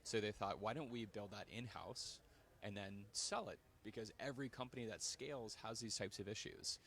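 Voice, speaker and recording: A man walks through his company's software in a casual live chat, friendly and smooth like a practiced salesman. His voice sits a little low. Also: normal speed. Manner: friendly, polished, salesy explainer. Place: conference venue, indoors.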